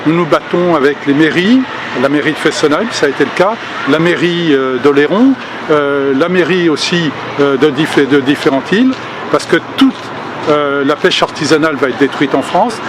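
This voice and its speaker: A man's voice: a little high, normal speed. An older man speaking at a public meeting, earnest and hesitant, with many fillers and restarts. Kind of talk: spontaneous political speech. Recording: outdoor recording with street noise.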